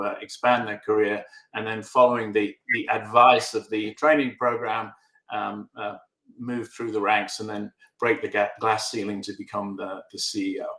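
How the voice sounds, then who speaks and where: A man with an English accent, a filmmaker answering interview questions over a video call, halting with many fillers and restarts and a dry, wry humor. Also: fairly low pitch, bright, forceful tone, echoey room, rough recording. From England. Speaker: filmmaker guest.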